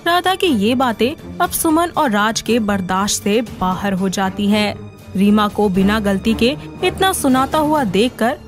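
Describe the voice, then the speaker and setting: A woman's voice: medium pitch, a little slow, soft background music. A woman reading scripted story narration as the narrator.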